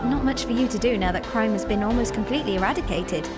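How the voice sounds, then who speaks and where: Woman acting eager high-voiced young reporter. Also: fast talker, natural voice. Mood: playful. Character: eager, plucky young female journalist. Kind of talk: radio drama acting. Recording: music and crowd behind.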